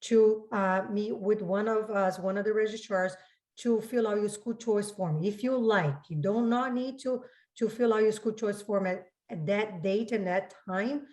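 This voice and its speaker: A woman with a mid-range voice speaks as a school registrar fielding questions. Her delivery is informal and explanatory, and she often restates a point to make it clear. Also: mid-range voice, a little slow, a little mellow. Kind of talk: informal spoken explanation in a public Q&A.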